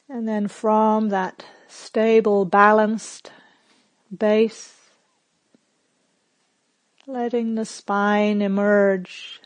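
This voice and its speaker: A woman meditation teacher leading a guided meditation in a calm, gentle, measured manner with long pauses. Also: medium pitch, dry recording.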